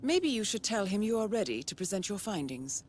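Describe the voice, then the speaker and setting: A woman voicing a poised, wry mission handler guiding an agent in a video game, composed and deliberate. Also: medium pitch, big pitch swings, slight background noise, lightly acted. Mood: intrigued, confiding. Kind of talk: video game cutscene acting.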